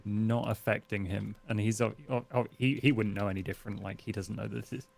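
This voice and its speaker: A man playing a tabletop game, describing his character in casual, halting speech that repeats words. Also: on the low side, fairly even intonation, vocal fry.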